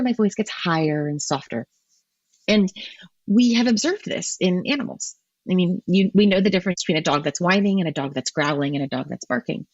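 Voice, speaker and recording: Woman guest, husky voice, explains science thoughtfully with many restarts, dull muffled audio. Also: lowish voice, darkish tone, dry recording. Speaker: guest marine ecologist. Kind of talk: podcast interview answers.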